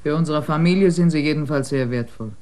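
A man's voice: on the high side, quick pace, darkish tone, close to an everyday voice. A man playing a devoted poor villager. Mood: earnest. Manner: earnest, explaining, hopeful. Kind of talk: German radio drama acting.

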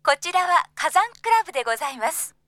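A courteous club receptionist for an audio drama. Her voice is high and bright, with formal, very polite telephone manners and a dry, close sound. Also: big pitch swings, fast, dry recording, exaggerated voice. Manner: very polite, formal service speech. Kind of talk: Japanese audio drama acting.

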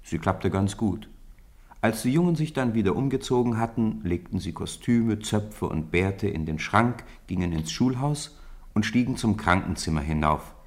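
A middle-aged man calmly narrating a radio drama in a studio, with measured, gently solemn delivery. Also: on the low side. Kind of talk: scripted storybook narration.

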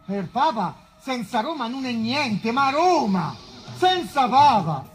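An actor playing an animated Roman chronicler, declaiming history in theatrical dialect. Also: medium pitch, slow pace, a little hiss or hum. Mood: declamatory.

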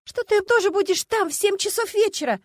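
A frantic, tearful woman blurts out her words between sobs, as a female narrator puts her on. The voice sits extremely high and piercing. It is recorded close and dry, like a plain audiobook read. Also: animated, a little fast, no room sound. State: crying. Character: panicked sobbing woman chasing a car. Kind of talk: audiobook character voice.

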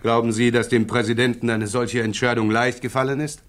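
A man playing a sharp, sceptical prosecutor who interrogates a witness with probing, sardonic insistence in a 1960s German radio play. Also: mid-range voice, flat delivery, a little fast, lightly acted. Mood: cool, insistent.

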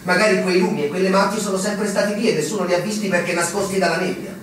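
An actor playing a weary, pensive fortress captain in a measured theatrical manner. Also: male voice, high-pitched, lightly acted. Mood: doubting. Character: weary fortress officer captain, ageing, waiting and doubting. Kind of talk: Italian stage drama acting. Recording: echoey stage recording, distant mic, clearly noisy.

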